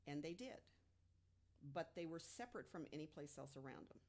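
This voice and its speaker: A woman informally telling researched material as a podcast panelist, emphatic and deliberate, posing rhetorical questions. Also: low-pitched, lively intonation, darkish tone, slight background noise.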